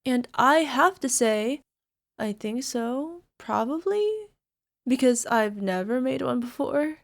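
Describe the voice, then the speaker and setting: Woman acting a high-voiced, giggly anime-style alchemist girlfriend. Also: animated, takes it slowly, heavily acted. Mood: chatty, mildly grumbling. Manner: giggly, hesitant, sighing, slipping into whispers. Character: bubbly young female alchemist turned obsessively lovestruck girlfriend. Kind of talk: ASMR girlfriend roleplay audio drama.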